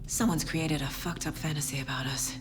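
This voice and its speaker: An actress playing a focused FBI investigator in a video game, thinking aloud in a measured, matter-of-fact way. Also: female voice, deep voice, steady tone, over music, close to an everyday voice. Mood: disturbed. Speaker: FBI agent character in a game. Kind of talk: cinematic game acting, investigative dialogue and inner monologue.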